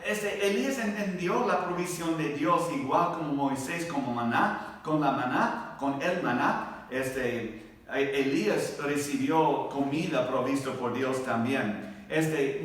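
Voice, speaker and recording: A man with a foreign accent, not a native Spanish speaker, teaching a church Bible class in a halting way, searching for words and checking with his listeners. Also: fairly high pitch. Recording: very echoey room, distant microphone.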